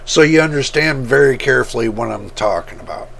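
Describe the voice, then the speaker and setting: A man hosting his computer-building channel, talking casually and rambling, with a rough voice that repeats points as he goes. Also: mid-range voice, lively intonation, a bit bright, a little hiss or hum. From United States. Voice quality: rough, gravelly voice. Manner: slow, rambling, repeats himself. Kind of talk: casual talk to camera.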